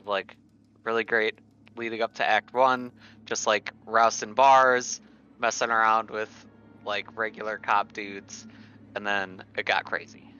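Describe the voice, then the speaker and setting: A man's voice: fairly low pitch, level tone, a little slow, bright, forceful tone. A man talking casually as a player in a tabletop game. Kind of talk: casual chat. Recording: dull lo-fi sound, faint noise.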